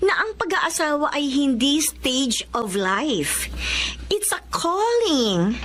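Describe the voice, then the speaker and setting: High, animated Filipino drama heroine. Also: female voice, a little hiss or hum. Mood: exasperated, earnest. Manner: expressive, switches between Tagalog and English. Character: single middle-aged daughter, cheerful, devout, spirited narrator. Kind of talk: Filipino radio drama acting and first-person narration. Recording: music behind the voice.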